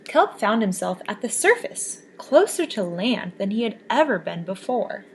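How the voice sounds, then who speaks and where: Lively woman reading a picture book. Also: medium pitch, normal speed, a little hiss or hum. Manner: expressive, lively storybook voices. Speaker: children's book reader. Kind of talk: read-aloud storytelling.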